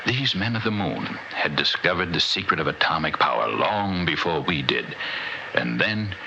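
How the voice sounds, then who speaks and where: An actor playing an older, haunted, philosophical scientist in a 1960s radio drama, gravelly and portentous in delivery. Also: male voice, a bass voice, expressive, sharp tone, exaggerated voice. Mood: haunted, intense. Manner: portentous, deliberate, dramatic storytelling. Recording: dull, noisy old radio recording.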